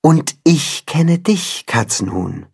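A storyteller voicing a gentle, motherly female farm cat who speaks softly and tenderly. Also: medium pitch, big pitch swings, slow, gravelly, slightly rough, voice pushed up, heavily acted. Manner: soft, tender, soothing.

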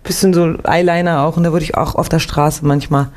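Chatty Swiss actress on late-night radio. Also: female voice, sits low, brisk. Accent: slight Swiss German. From Switzerland, Winterthur. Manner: chatty, lively storytelling. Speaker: actress and singer, studio guest. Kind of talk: relaxed radio interview chat.

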